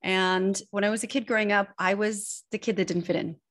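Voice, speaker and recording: An American woman in her thirties with a mid-pitched voice talks as a guest on a video-call interview. She tells personal stories in a candid, expressive, conversational way. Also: brisk. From Northern California, United States. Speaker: guest, personal branding image expert. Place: indoor room at home. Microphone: video call microphone.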